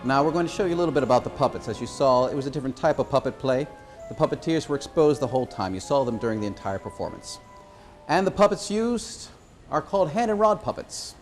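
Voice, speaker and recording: A man giving an informal explanation as a puppeteer presenting a show, pausing and hesitating as he goes. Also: medium pitch, animated, faint music behind.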